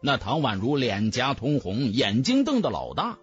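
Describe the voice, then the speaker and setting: Expressive male storyteller with sweeping pitch. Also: moderate pace, dull audio. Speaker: audiobook narrator. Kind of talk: scripted fantasy novel narration. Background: background music.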